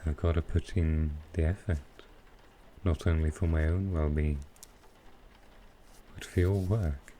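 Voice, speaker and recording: A man playing a smooth, flirtatious supervillain in an intimate ASMR roleplay, teasing and unhurried. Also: very deep voice, steady tone, a little hiss or hum. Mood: casual, suggestive. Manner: teasing, unhurried, softly suggestive. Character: smooth, flirtatious male supervillain courting his tailor.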